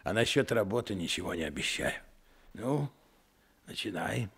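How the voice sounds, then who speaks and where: A gravelly, weary showman. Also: fairly low pitch, animated, normal speed, a bit bright, full character voice. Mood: gruff. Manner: gruff, tired, grudgingly kind. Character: weary middle-aged showman, gruff but kind.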